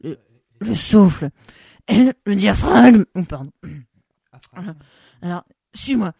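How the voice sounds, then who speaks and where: A woman playing a flustered singing teacher in an amateur radio play. Her voice is hoarse after a night in the studio, and she keeps clearing her throat. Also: medium pitch, lively intonation, slow, warm tone, dry recording, exaggerated voice.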